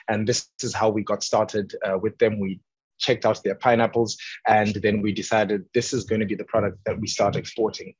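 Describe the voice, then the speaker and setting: A man presenting his company on a video call from a train, earnest and a little halting. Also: lowish voice, slightly rough, no echo. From Zimbabwe. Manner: earnest, slightly halting with fillers. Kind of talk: webinar presentation. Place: on a moving train. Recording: dull lo-fi video call audio.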